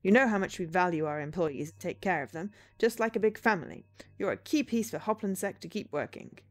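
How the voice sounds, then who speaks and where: A woman's voice: lowish voice, expressive, a little fast, natural voice. A woman voicing a domineering male company boss in a visual novel, emphatic and patronizing, over music. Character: domineering male corporate boss, loudly worried about trouble. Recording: music behind the voice.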